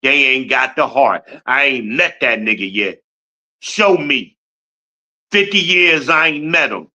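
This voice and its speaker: A man's voice: medium pitch, animated, slow, sharp tone. A man in his fifties from Newark, New Jersey, hosting a podcast livestream with a boastful, blunt, profane rant. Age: 50s. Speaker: podcast host.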